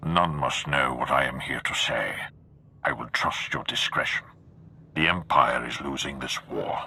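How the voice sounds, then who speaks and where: An actor playing a stern, commanding dark lord of a galactic empire in a video game, speaking in a grave, formal, imperious manner. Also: male voice, rumbling low, unhurried, husky, heavily acted. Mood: grim, solemn. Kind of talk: dramatic video game acting.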